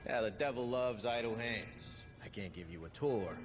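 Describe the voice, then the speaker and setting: A man playing a gruff military armorer in a video game cutscene, blunt and folksy with a wry edge. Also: mid-range voice, moderate pace, close to an everyday voice. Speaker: game voice actor. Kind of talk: dramatic game cutscene dialogue. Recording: long reverb under prominent music.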